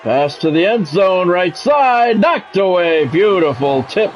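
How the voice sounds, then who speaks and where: A man's voice: high-pitched, lively intonation, slow, a little hiss or hum. An American man calling live football video game play-by-play in clipped announcer phrases, with casual asides. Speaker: play-by-play streamer.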